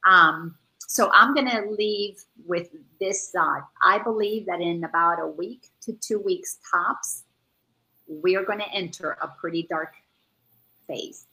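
Gravelly-voiced earnest woman on a call. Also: fairly low pitch, takes it slowly, bright, forceful tone, poor audio. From Dominican Republic. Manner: earnest, searching, repeats words as she thinks. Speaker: guest. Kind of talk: casual spiritual chat.

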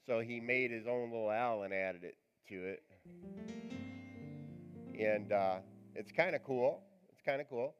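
A male pastor with a raspy voice, preaching a live church sermon in a chatty, joking, rambling way. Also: medium pitch, measured pace, pauses often, muffled recording, music in the background. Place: church sanctuary.

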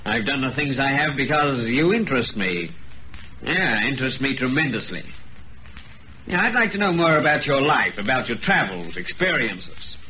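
An actor playing a cunning, vengeful lawyer in an old-time radio drama, articulate and insinuating, outwardly genial but quietly menacing. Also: male voice, medium pitch, sing-song, moderate pace. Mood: silky, probing. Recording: dull, noisy old radio recording.

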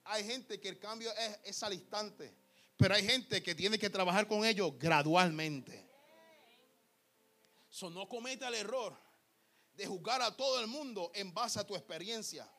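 Young male preacher, high voice, fervent, repetitive delivery. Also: unhurried, creaky, bright, forceful tone. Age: young adult. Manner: fervent, repetitive, calls listeners "amado". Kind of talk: Pentecostal sermon.

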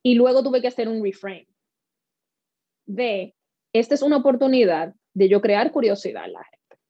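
A woman's voice: a little high, very animated, moderate pace, no room sound. A woman from the Dominican Republic with a Dominican accent, a guest in a conversational interview, telling her stories with animation and acting out the lines she quotes. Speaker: guest, speaker and workshop leader. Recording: slightly dull, dry sound.

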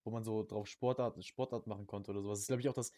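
A man's voice: fairly low pitch, fairly even intonation, rapid-fire, dark tone, no echo. A young man chatting casually as a podcast co-host, rambling in slang and often repeating his words. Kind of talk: casual chat.

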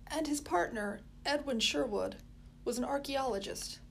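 A well-spoken, earnest boy who chooses his words politely, as a woman narrator plays him. His voice is raised somewhat above hers and keeps a slight gravelly grain. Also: sits high, normal speed, a little hiss or hum, pitched above the performer's own voice.

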